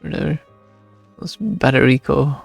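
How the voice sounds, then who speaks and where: A man's voice: medium pitch, measured pace, creaky, soft background music. A man giving casual gameplay commentary as he reacts to a game's story. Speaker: gamer reacting to a story quest.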